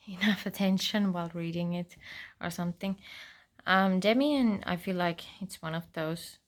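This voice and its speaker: Woman with a creaky voice. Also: lowish voice. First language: Finnish. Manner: chatty and reflective, drops to soft near-whispers. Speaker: book vlogger. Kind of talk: casual reading vlog.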